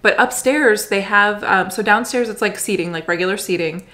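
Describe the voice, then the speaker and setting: A woman in her thirties, sitting on a living room couch beside her co-host and chatting into a camera mic. She raves about dishes with lots of "like" and eager emphasis, in an ordinary mid-pitched voice. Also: moderate pace, pushed and bright. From Florida. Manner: enthusiastic, gushing food descriptions with frequent likes. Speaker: vlog co-host. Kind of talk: casual chat.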